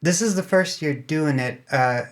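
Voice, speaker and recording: A man's voice: fairly high pitch, measured pace. A man speaking as an interview guest, explaining casually in a loose, rambling way that often doubles back mid-sentence. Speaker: podcast guest, amateur radio club spokesman.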